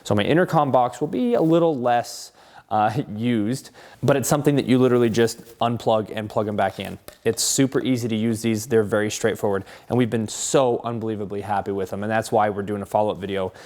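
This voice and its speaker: Low-pitched man giving a casual review. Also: fairly quick, darkish tone. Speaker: car channel host. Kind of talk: casual product review to camera.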